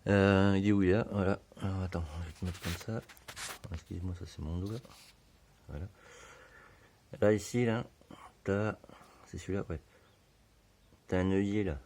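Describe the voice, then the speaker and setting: A hoarse man giving a relaxed, slangy how-to explanation full of fillers, in a home garage. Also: deep voice, moderate pace, long pauses, a little hiss or hum. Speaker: motorbike hobbyist.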